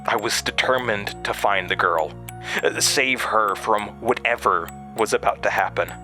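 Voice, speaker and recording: An actor playing a haunted man recounting a terrifying memory in a horror audio drama, halting and hesitant. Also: lowish voice, pushed and bright, faint music behind. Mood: haunted, tense. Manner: halting, hesitant, with stammered restarts.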